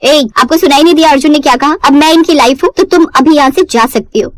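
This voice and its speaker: A woman's voice: sits high, fast, no room sound, lightly acted. A woman voicing a haughty, possessive young city woman in a cartoon story, snappish and dismissive. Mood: possessive anger.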